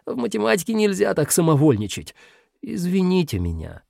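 A man's voice: high-pitched, wildly swooping pitch, brisk, vocal fry, a little mellow, dry recording, clean studio sound, voice pushed up, full character voice. A man voicing a sly, whiny little minus sign, a wheedling troublemaker in a children's story. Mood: sheepish. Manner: wheedling, pleading, evasive.

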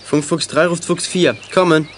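A man's voice: a little high, steady tone, normal speed, background noise, close to an everyday voice. An actor playing a level-headed boy detective leader in a German youth radio play, giving clipped radio commands. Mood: commanding, focused.

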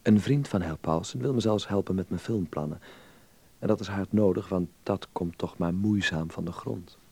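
Naive young man, low creaky voice. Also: normal speed, darkish tone. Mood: earnest, hopeful. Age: young adult. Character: earnest, naive young Dutchman abroad, aspiring actor. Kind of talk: radio play acting.

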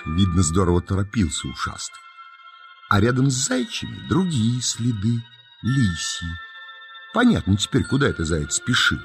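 A man's voice: lowish voice, wildly swooping pitch, normal speed, soft background music. A man narrating a children's nature tale in a folksy, dramatic storytelling style, savouring his words.

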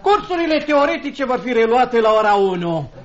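An actor playing a cruel, gloating schoolmaster in a theatrical radio drama, pompous and bullying with a mock-jovial manner. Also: male voice, very high-pitched, big pitch swings. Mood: sneering, officious. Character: cruel, gloating schoolmaster tyrant, a grown man. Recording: dull lo-fi radio play recording.

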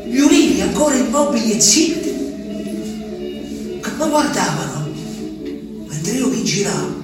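A man's voice: very high-pitched, sing-song, full character voice. Haunted peasant man, echoing monologue. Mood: haunted wonder. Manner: halting, emphatic, repetitive storytelling with dramatic swells. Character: simple, haunted Abruzzese peasant man recalling his abandoned wife. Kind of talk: theatrical monologue for radio drama. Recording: very echoey, distant stage sound with music.

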